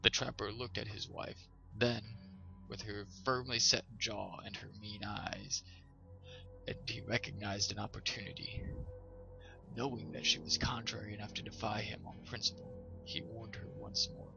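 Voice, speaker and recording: Gravelly male storyteller over music. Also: fairly low pitch, steady tone, normal speed. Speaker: host and story reader. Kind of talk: scripted storybook narration. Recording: slightly dull sound, music behind.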